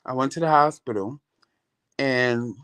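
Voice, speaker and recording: A middle-aged man with a light voice chats his way through stories on his vlog. He is animated and blunt, curses freely and stops to greet viewers by name. His pitch sits at an ordinary middle height for a man. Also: measured pace, smooth. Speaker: vlog host. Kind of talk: casual storytelling chat.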